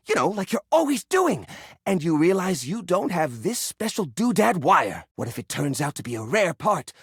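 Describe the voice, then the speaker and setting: Theatrical, wildly expressive eccentric hoarder. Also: male voice, on the high side, breathy edge, no echo, studio quality, exaggerated voice. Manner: theatrical, excitable, rambling like a proud tour guide. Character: eccentric, cheerful male hoarder personifying a junk drawer. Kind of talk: animated video game voice acting.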